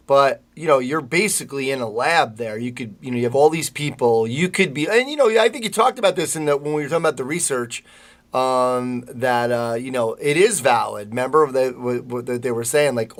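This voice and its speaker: A man hosting a podcast, chatting casually and putting loosely phrased, rambling questions to his guest. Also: medium pitch. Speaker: podcast host, interviewer. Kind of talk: casual conversational interview.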